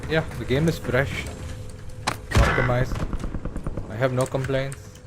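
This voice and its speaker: A man's voice: mid-range voice, slow, heavy background noise. A man whose first language is Tamil, thinking aloud in casual commentary while he plays a shooter game on a livestream.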